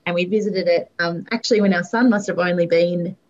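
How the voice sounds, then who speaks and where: A woman's voice: fairly low pitch, steady tone, a little fast, a little mellow, muffled recording. A woman chatting casually as a distillery co-founder, telling detailed stories. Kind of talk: casual chat.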